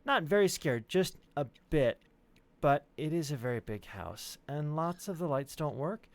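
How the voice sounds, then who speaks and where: Storybook child role: precocious small boy, played by a male reader, high-pitched, earnest, thoughtful, slightly scared, lively intonation. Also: a little slow, dry recording. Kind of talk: storybook read-aloud.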